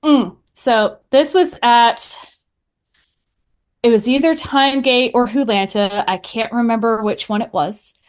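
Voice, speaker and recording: A woman's voice: a little high, unhurried. Casual woman podcaster chatting away. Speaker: podcaster answering questions as a guest. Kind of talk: casual chat.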